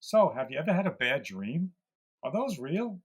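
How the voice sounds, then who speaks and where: A man playing an aging, weary, gentle mouse who speaks as a calm, fatherly mentor in an audio drama. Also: fairly high pitch, moderate pace, breathy edge. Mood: weary, reflective.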